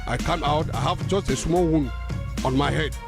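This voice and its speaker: Man from Cameroon, very high pitch, halting testimony, repetitive, roadside interview, prominent background music. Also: vocal fry. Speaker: accident survivor giving eyewitness account.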